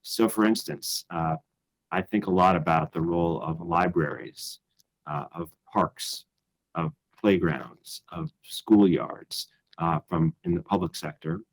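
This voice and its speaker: A man in his forties from Chicago addresses a conference in a very low voice. He talks in a measured, thoughtful way, pausing on "uh" and repeating words as he restarts his sentences. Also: slightly rough, low-quality recording. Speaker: conference speaker. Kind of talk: conference talk.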